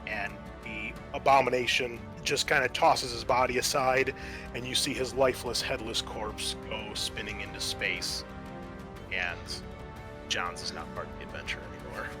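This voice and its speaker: A man working as game master, narrating a tabletop horror game in a halting, descriptive way. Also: medium pitch, over music.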